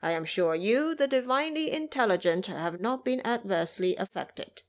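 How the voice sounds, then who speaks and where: A woman voicing a courteous, flattering male bear monster's letter in an audio drama, formal and obsequious. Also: medium pitch, normal speed, lightly acted. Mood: humble flattery.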